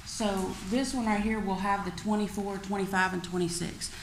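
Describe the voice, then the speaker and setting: Creaky-voiced woman presenting in an echoey room. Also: mid-range voice, fairly even intonation, normal speed. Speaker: finance officer. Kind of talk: informal report presentation. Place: indoor public meeting room. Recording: echoey meeting room, faint background noise.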